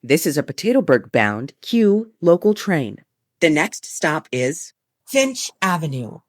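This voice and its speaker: A woman with a fairly low voice reads scripted transit announcements as an automated train announcer. Her pitch moves in a lively way across measured set phrases. The sound is dry, with little room echo. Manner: formulaic, measured delivery of short set phrases.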